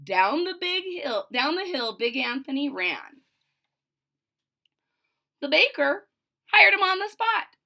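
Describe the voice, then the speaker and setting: A woman reading a children's storybook aloud expressively, with deliberate, emphatic phrasing and simple explanations. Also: high voice, big pitch swings, creaky, sharp tone. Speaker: storybook reader.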